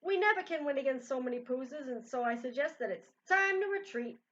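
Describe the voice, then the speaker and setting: A pompous army general bellows commands in a loud, high voice, as a woman reading aloud puts him on. The sound is dull and lo-fi, like a cheap home recording. He barks every order as a declaration. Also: lively intonation, brisk, recorded from a distance, slight background noise. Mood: resigned.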